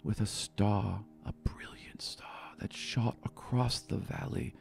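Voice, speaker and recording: A man voicing a gentle, sorrowful father in a tabletop roleplay, speaking tenderly, solemnly and haltingly. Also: on the low side, fairly even intonation, gravelly, whispered, faint music behind. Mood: hesitant, tender. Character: gentle, sorrowful elven father revealing a painful secret.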